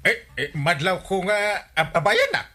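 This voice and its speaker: High, whiny village buddy. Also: male voice, huge pitch swings, moderate pace, over music. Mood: whining. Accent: Ilocano. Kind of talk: Ilocano radio comedy drama acting.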